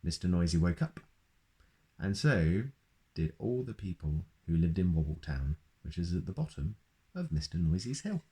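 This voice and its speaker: A man's voice: deep voice, very animated, normal speed, dark tone. A man reading a children's picture book aloud in a playful way, adding sound effects. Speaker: storyteller.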